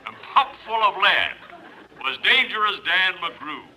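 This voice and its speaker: Male narrator, very bright voice, high and animated, melodramatic verse recital, old radio with faint noise. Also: unhurried, poor audio.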